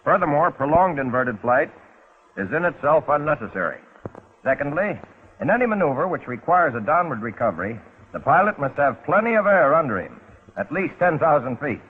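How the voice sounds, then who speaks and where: A man's voice: a little high, sing-song, fairly quick, slightly rough. An American man narrating a 1940s instructional film in a scripted, instructional way with folksy, wry touches. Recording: muffled, phone-like 1940s film soundtrack.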